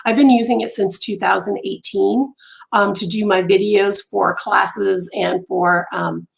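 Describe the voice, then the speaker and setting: A woman hosting a casual crochet live stream from home, chatty with many ums and pauses, her voice going hoarse and interrupted by throat clearing. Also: medium pitch, steady tone. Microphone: phone on a mount.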